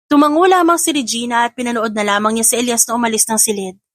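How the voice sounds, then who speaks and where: A woman's voice: a little high, no room sound. A woman reading scripted dramatic narration as an audiobook narrator.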